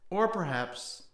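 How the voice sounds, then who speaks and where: A man in his sixties narrating a fairy tale theatrically and deliberately, with long pauses. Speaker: storyteller and musician. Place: indoor room with paintings. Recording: echoey room, loud bass music. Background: plucked and bowed double bass.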